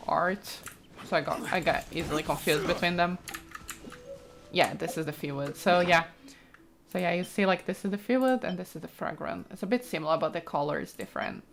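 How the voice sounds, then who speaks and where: A woman's voice: on the low side, moderate pace, creaky, slight background noise. A woman giving casual, chatty gameplay commentary, thinking aloud as she plays. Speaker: let's play host. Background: game music, keyboard clicks.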